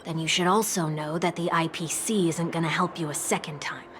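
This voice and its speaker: An actress playing a sharp, sarcastic young woman who speaks bluntly and teases, with music playing behind her. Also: deep voice, fairly even intonation, brisk, a little hiss or hum. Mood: skeptical. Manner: teasing and blunt. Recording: game soundtrack music behind.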